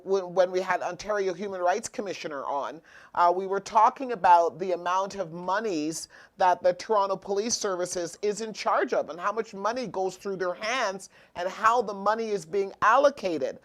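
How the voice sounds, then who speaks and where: Woman talk show host reading figures. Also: mid-range voice. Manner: reads figures aloud, stumbling and self-correcting.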